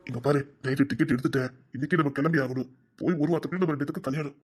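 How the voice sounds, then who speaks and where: A performer playing a possessive but tender young man in an audio romance drama, speaking softly and coaxingly. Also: medium pitch, fairly even intonation, racing, slightly rough, natural voice. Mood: pleading, insistent. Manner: soft, hushed, coaxing.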